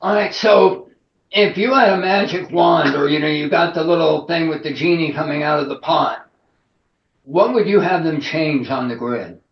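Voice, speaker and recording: A man's voice: on the high side, moderate pace, echoey room, highs cut off. A man interviewing a guest, asking questions in an informal, colloquial way.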